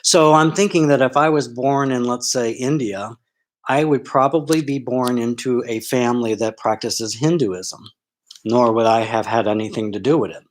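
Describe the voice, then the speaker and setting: A man's voice: medium pitch, moderate pace. A middle-aged man from Florida, a sociology professor, telling a live online audience his story in a candid, rambling way full of ums and restarts. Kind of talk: live-streamed personal talk.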